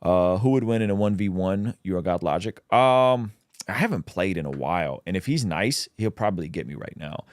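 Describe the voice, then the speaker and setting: A man's voice: deep voice, lively intonation, moderate pace, no room sound. A man, a native Russian speaker, hosting a casual livestream where he reads out chat questions and answers them with plenty of ums.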